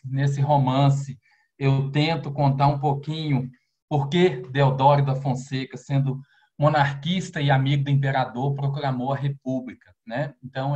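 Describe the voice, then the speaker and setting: A man from Minas Gerais with a Brazilian accent, reading his prose and poetry aloud with expressive rises on key lines, over a video call. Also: lo-fi sound. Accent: Brazilian Portuguese. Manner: expressive recitation, rising pitch on key lines. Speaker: poet and novelist.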